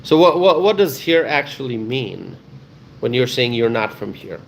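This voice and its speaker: A man hosting a live stream talks casually with his viewers. He reads their comments aloud, answers each one, and repeats key phrases for emphasis. His voice is mid-pitched, and a faint hiss of background noise sits under it. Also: very animated, moderate pace, creaky. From Bangladesh.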